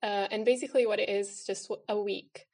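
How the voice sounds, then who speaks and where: A young woman from Italy speaks as a student guest on a podcast. She talks in a relaxed, easygoing way with frequent fillers, and her voice sits in a middle range. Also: medium pitch, fast. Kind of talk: casual interview chat.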